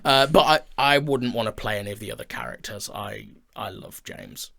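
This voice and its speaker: Bright-voiced British man chatting casually. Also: on the low side, wildly swooping pitch, moderate pace, creaky. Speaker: audio drama writer and actor in a podcast discussion. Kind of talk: casual chat. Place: indoor studio.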